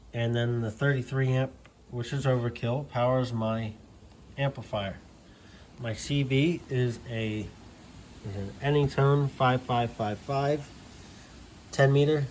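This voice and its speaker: American male, hobbyist walkthrough, unhurried and halting delivery, casual and unscripted, slightly noisy home-video sound. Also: mid-range voice, darkish tone. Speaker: amateur radio hobbyist giving a setup tour.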